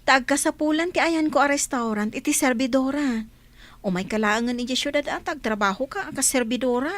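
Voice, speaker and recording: High, dry-sounding inquisitive Filipino woman played by an actress. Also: brisk, a bit bright, dry recording. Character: inquisitive city friend recruiting for a restaurant job. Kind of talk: Ilocano audio drama acting.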